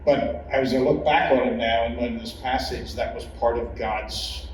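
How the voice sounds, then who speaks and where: A middle-aged or older man, a former church leader, giving reflective personal testimony in a humble, hesitant manner full of pauses. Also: medium pitch, fairly even intonation. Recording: echoey room, distant mic, clear noise.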